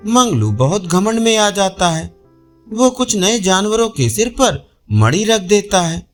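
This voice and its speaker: A man's voice: sits high, big pitch swings, slow pace, soft background music. A man narrating a scripted children's story as a storyteller.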